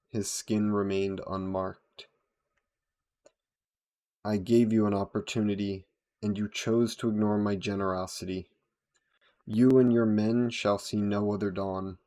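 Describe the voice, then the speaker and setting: A man voicing a brooding, solemn vampire who narrates a dark tale in a deliberate, dramatic storytelling style. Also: fairly low pitch, lightly acted. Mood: cold, menacing. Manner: slow, deliberate, solemn delivery. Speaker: audio roleplay performer, storyteller.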